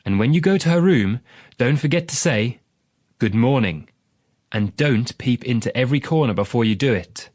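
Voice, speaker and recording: A man voicing a careful mother in a storybook, giving gently bossy instructions. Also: mid-range voice, animated, moderate pace, no room sound, studio quality, close to an everyday voice. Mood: caring, admonishing.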